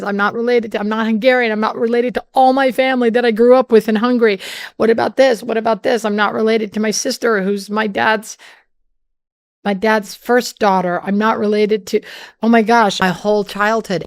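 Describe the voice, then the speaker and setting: A middle-aged woman speaking candidly in an interview, pausing and restarting as she searches for words. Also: medium pitch. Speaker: interview guest. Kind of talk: personal interview talk.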